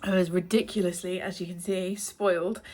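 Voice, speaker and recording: A woman's voice: lowish voice, steady tone, moderate pace, darkish tone. A woman in her thirties, chatting casually in a home book vlog, a little breathless and wheezy from a cough. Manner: chatty, rambling, with frequent ums. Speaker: book vlogger.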